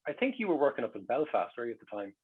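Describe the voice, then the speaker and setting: A man's voice: mid-range voice, very animated, rapid-fire, narrow, tinny audio, a crowd in the background. A middle-aged man with an Irish accent telling anecdotes in a casual, conversational way. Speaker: guest.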